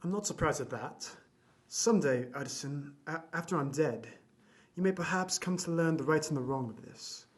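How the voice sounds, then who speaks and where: A man's voice: a little high, moderate pace, natural voice. A man playing a dying old doctor in an audio drama, formal and emphatic, bitter and weary of life. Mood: bitter, agitated.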